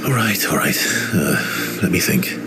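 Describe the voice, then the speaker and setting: A mysterious, gently playful man in a video game, with a deep voice that swings expressively in pitch. He banters hesitantly and self-deprecatingly in a natural cutscene style, with music clearly behind him. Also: animated, measured pace. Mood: playful, mock-offended. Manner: hesitant, bantering, mock-offended.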